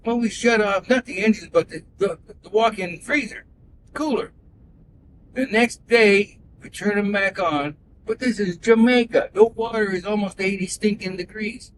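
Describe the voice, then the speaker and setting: A man's voice: normal speed, poor audio. A man from Canada, born in Belgium, telling long folksy sailing stories as a rideshare passenger, full of "uh" and restarts. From born in Belgium, raised in Canada. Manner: folksy, digressive, with frequent "uh" and restarts. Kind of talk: rambling casual storytelling. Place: inside a moving car. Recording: hidden mic in a car, road noise. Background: road rumble.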